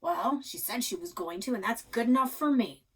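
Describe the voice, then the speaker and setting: Storybook grandpa read by a woman: old, stubborn and resigned, gruff delivery with a husky edge. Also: fairly high pitch, expressive, normal speed, fairly bright tone. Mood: matter-of-fact.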